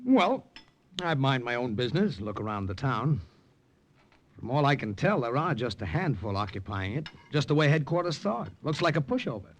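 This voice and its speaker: An actor playing a matter-of-fact American secret agent in a radio drama, with an American accent and casual delivery. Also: male voice, mid-range voice, big pitch swings, normal speed. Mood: businesslike. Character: plain-spoken American secret agent behind enemy lines. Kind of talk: 1950s radio drama acting and narration.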